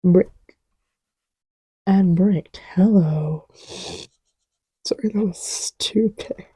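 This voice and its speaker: Soft, slow-talking, mellow girlfriend played by a woman. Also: on the low side, husky, dry recording, full character voice, rough recording. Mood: flustered, absorbed. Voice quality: soft-spoken, often whispery. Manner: rambling, playful, self-deprecating, often drops to a murmur. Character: affectionate, scatterbrained girlfriend testing markers on her boyfriend's lap. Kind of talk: casual, improvised-sounding girlfriend roleplay audio with ASMR feel.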